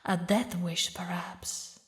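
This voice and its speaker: Woman acting as a slow, haughty demon queen. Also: on the low side, flat delivery, a bit bright, in a whisper. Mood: suspicious, haughty. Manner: slow, taunting, regal, with dramatic pauses. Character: haughty, mocking demon queen mourning her lost lover. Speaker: villainous ruler addressing a knight. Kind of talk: theatrical audio roleplay monologue.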